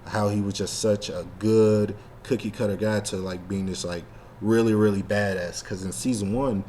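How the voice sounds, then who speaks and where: A man's voice: on the low side, fairly even intonation, moderate pace, warm tone, a little hiss or hum. A man co-hosting a casual fan podcast, talking in a rambling, slangy way with lots of "like". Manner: rambling, heavy use of "like" and slang. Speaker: podcast co-host.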